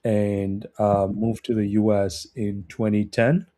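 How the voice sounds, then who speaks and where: A man talks casually as a podcast guest. His voice is fairly low and very mellow and soft-edged, and he chooses his words in a measured, slightly hesitant manner. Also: flat delivery. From Republic of Congo, later South Africa. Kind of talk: casual conversational self-introduction.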